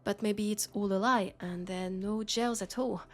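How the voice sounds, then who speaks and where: A woman's voice: mid-range voice, fairly even intonation, normal speed, natural voice. A woman with a French accent playing a worried, disillusioned policewoman in an intimate sci-fi roleplay, confiding in a hesitant way. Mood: troubled, uneasy. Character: worried, disillusioned young policewoman in a dystopian city. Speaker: roleplay audio performer.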